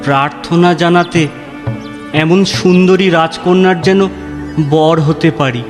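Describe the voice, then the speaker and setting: A man's voice: a little high, takes it slowly. A man voicing Jadu, a playful young man who jokes with his friends and dreams of finding treasure. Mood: eager. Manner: playful, teasing. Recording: music underneath.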